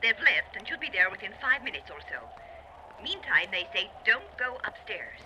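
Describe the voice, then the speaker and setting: An actress playing a nosy, wisecracking young telephone operator in a 1940s radio drama. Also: female voice, medium pitch, big pitch swings, normal speed, close to an everyday voice. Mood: concerned. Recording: muffled noisy old radio recording.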